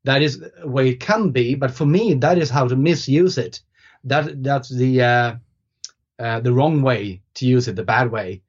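A man's voice: mid-range voice, unhurried, husky. A man whose first language is Swedish, a guest on a podcast, thinking aloud in casual conversation with frequent fillers and restarts. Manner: thoughtful, hesitant with many restarts and fillers. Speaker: guest author. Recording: slightly dull lo-fi sound.

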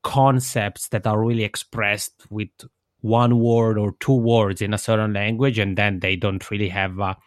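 A man's voice: on the low side, level tone, normal speed, no room sound, clean studio sound. A man from Switzerland whose native language is Italian, answering interview questions in a reflective, explanatory way and often rephrasing himself. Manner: reflective, self-correcting, explanatory. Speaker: interview guest.

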